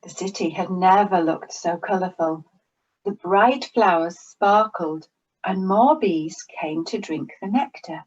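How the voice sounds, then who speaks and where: A woman reading a children's story aloud in a slow, expressive storytelling manner. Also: on the low side, breathy edge, lo-fi sound.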